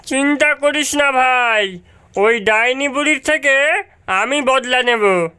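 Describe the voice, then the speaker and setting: A woman's voice: sits high, slow pace, pushed and bright, smooth, exaggerated voice. A woman voicing a bold, cheeky village boy in a cartoon, brash and exaggerated, with music behind. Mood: determined. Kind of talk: cartoon voice acting.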